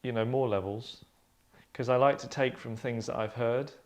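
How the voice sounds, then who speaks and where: Man, thirties, medium pitch, script narrator, casual discussion, table read. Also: fairly even intonation, moderate pace. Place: small living room, seated on sofas.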